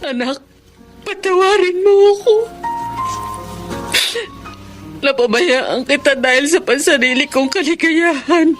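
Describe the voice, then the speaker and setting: A woman's voice: high-pitched, moderate pace, faint music behind, natural voice. A woman playing a betrayed, anguished mother in a radio drama, with an emotional, tearful delivery. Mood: remorseful, pleading. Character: betrayed, anguished mother leaving her deceitful lover. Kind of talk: Tagalog radio drama acting.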